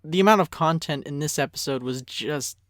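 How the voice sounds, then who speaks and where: A man's voice: on the high side, brisk, a bit bright. A man giving casual, chatty behind-the-scenes commentary on an episode as the creator of the series. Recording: music and show dialogue behind.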